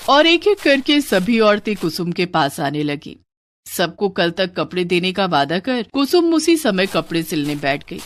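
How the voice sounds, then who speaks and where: A woman reading a scripted moral story as the narrator. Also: fairly low pitch.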